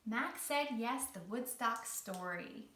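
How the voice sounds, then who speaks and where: A woman's voice: a little high, lively intonation, slow, bright, forceful tone, a little hiss or hum. A woman speaking as a children's read-along host and teaching artist, in an encouraging, theatrical storytelling manner with carefully phrased lines. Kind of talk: guided exercise and storytelling for young children. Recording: roomy echo, distant from the mic.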